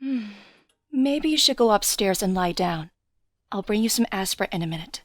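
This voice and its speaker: A woman's voice: mid-range voice, expressive, normal speed, no echo, clean studio sound, natural voice. A woman playing a concerned, mildly strict suburban mother in an audio drama, with a fussing parental manner.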